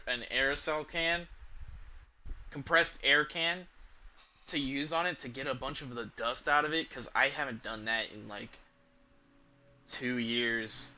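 A young man chatting casually on a game livestream, halting and hesitant, with a sweary, offhand way of talking. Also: medium pitch, sharp tone. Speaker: video game streamer. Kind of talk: casual livestream chat over gameplay. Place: small indoor room at home.

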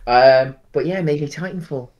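A man's voice: on the high side, measured pace, highs cut off. A man in his thirties co-hosting a podcast over a video call, rambling with many ums and restarts. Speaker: podcast co-host. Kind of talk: casual chat. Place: home room, video call.

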